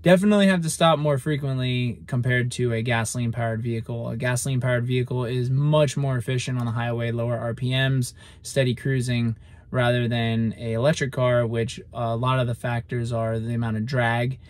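Young man talking flatly in a car. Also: mid-range voice, a little fast. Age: young adult. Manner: flat, matter-of-fact explaining. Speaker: vlog host. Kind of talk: casual vlog talk. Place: inside a parked car. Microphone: phone camera at arm's length.

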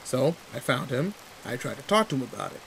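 A man's voice: medium pitch, brisk, vocal fry, a little hiss or hum, low-quality recording. Man playing teasing delinquent boy in the rain. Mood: candid, bitter. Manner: casual slangy, teasing, swearing. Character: tall teenage delinquent boy, teasing, protective, secretly sweet. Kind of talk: roleplay audio drama, intimate one-sided dialogue. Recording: steady rain ambience behind voice. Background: rain in a forest.

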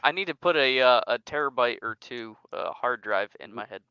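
A young man with a smooth, lively voice talks in casual banter. He pokes fun at himself. The audio is close and dry, a little dulled as on an online call. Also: medium pitch, normal speed, dry recording, lo-fi sound. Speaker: podcast panelist. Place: dim bedroom gaming setup, indoors. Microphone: headset on an online call.

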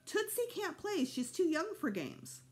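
A woman's voice: very high voice, sing-song, measured pace, pitched above the performer's own voice, exaggerated voice. Woman playing a dramatic, anxious mother. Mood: exasperated. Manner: emphatic, dramatic. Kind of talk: children's audiobook character voice.

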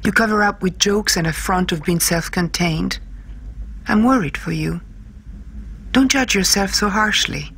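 A woman playing a caring, flirtatious pen-friend, reading a letter gently and intimately for an audiobook, with a husky voice. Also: medium pitch, unhurried. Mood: concerned.

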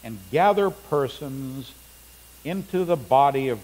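Middle-aged male pastor, slow deliberate sermon delivery, picked up from afar in a big sanctuary. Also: medium pitch, expressive, a little mellow. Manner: unhurried preaching, reading scripture aloud with rising emphasis. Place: large church sanctuary. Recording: long church reverb, faint hum.

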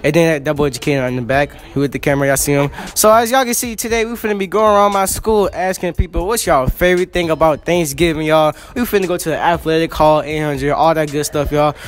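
A man's voice: on the high side, fast, few pauses. A teenage boy hosting casual school hallway interviews, joking and teasing in slang, holding a phone as a mic. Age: teens. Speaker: student interviewer, channel host. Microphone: handheld phone mic.

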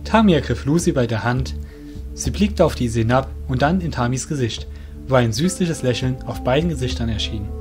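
A man narrates an audio drama from a script. His voice is medium in pitch, with soft music underneath. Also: expressive, moderate pace.

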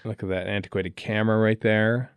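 A man with a lowish voice talks casually as a podcast co-host. He rambles and thinks aloud through his commentary, recorded close and dry without room sound. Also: little pitch movement, a little fast, clear, resonant tone, no echo. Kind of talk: casual film commentary chat.

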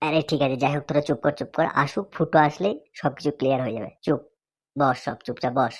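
A woman's voice: rumbling low, fast. A woman voicing a bossy, chatty male friend in a comic cartoon, given to exclamations.

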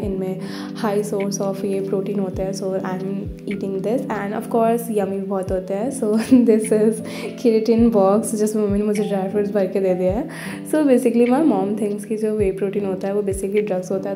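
Chatty young Indian woman vlogger. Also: mid-range voice. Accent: Indian English. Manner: chatty, mixing English and Hindi, giggly. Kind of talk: casual vlog voice-over. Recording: voice-over with loud background music.

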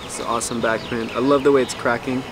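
Relaxed man showing off vintage finds outdoors. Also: medium pitch, quick pace. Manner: enthusiastic, relaxed and descriptive. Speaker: vintage clothing buyer showing his haul. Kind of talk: casual haul walkthrough. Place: outdoors on a street by parked cars. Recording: outdoor street noise.

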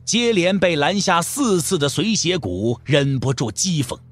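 A man's voice: fairly high pitch, very animated, unhurried, breathy edge, faint music behind. A man narrating a martial arts novel for an audio drama in a dramatic storytelling style.

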